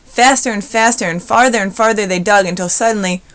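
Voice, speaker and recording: A woman's voice: medium pitch, fairly quick, a bit bright. A woman narrating a children's storybook expressively as its reader.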